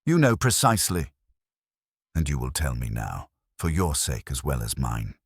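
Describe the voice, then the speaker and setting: Male narrator as imperious detective, very deep, husky, deliberate, precise, formal, storybook mystery. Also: sing-song, dry recording, studio quality, heavily acted. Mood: indignant, demanding. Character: imperious middle-aged male genius detective, stern and deliberate.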